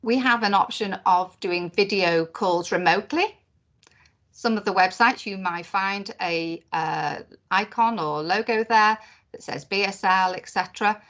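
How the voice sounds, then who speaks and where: A woman voicing a deaf presenter's signed conference talk into spoken English, in short phrases with pauses and fillers. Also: mid-range voice, a bit bright. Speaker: sign language interpreter voicing a deaf presenter. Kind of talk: live voiced interpretation of a conference talk.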